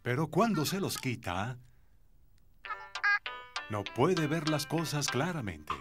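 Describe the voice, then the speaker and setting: A man playing a friendly storybook narrator for a preschool cartoon, explaining things simply and clearly. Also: on the high side, sing-song, slow, over loud music. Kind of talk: scripted children's narration.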